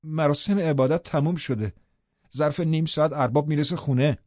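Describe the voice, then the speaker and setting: Man acting a scolding female housekeeper, raised pitch, urgent, exasperated, storybook drama, muffled roomy recording. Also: a little mellow, close to an everyday voice. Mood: urgent warning.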